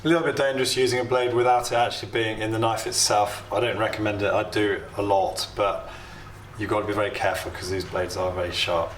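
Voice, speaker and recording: A British man walks through a home repair in a chatty, plain way, his voice a bit hoarse from a cold. The room rings with echo, and a faint hiss sits under the sound. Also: fairly low pitch, fairly even intonation, fast. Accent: British English. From United Kingdom. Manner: chatty, explains steps plainly with small asides. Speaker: DIY video host. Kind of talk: relaxed DIY tutorial. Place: home workshop or kitchen.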